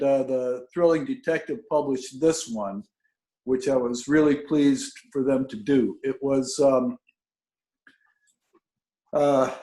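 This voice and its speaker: A man, an author, speaking informally and haltingly to an online reading over a video call. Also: on the high side, a little mellow. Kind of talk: informal spoken introduction and reading of own fiction. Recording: roomy, echoing video call audio.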